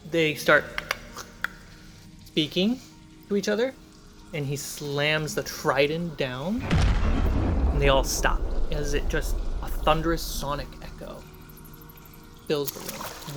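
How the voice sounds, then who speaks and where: A man narrating a tabletop fantasy game as game master, describing scenes in short measured phrases. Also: on the high side, music in the background.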